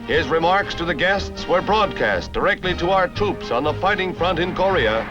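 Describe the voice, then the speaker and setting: Male American newsreel narrator, 1950s style: dramatic, very animated, over loud music on a dull old soundtrack. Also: sits high. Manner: brisk, dramatic announcer delivery.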